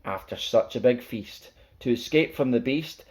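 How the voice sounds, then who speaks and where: A man's voice: medium pitch, moderate pace. A man reading a rhyming children's picture book aloud as a storyteller, in a playful, theatrical, sing-song way.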